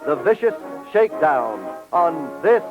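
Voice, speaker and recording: A man's voice: a little high, very animated, takes it slowly. Male sponsor announcer, polished old-time radio read, band-limited sound with light music underneath. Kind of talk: scripted 1940s radio announcing. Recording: dull old radio recording.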